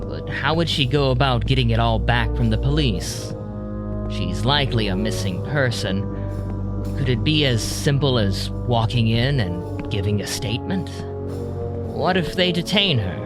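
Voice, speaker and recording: A man narrating an audio drama in a deliberate, brooding, scripted storytelling style. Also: mid-range voice. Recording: loud music bed under voice.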